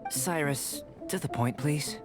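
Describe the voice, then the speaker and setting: A man playing a calm, aloof young scholar-alchemist in a video game, speaking in a measured, formal and detached manner. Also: on the high side. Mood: cool, reserved. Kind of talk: video game character acting. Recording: background music under the voice.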